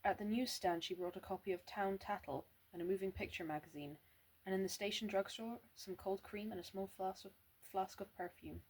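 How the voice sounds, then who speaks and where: A woman's voice: medium pitch, fairly even intonation, a little fast, darkish tone, husky, low-quality recording. A young woman reading a novel aloud, often stumbling and restarting words because of a slight stammer. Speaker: amateur reader.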